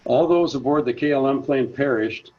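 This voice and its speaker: Husky-voiced man giving a prepared talk. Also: mid-range voice, muffled recording. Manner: measured, reads from notes. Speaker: presenter. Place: senior center.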